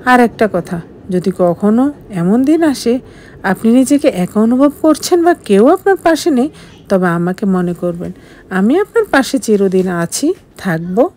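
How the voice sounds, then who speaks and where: A woman's voice: mid-range voice, big pitch swings, unhurried, a little mellow, slight background noise. A woman voicing a devoted, self-sacrificing young wife in an audio story, emotive and pleading. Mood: hurt, resigned.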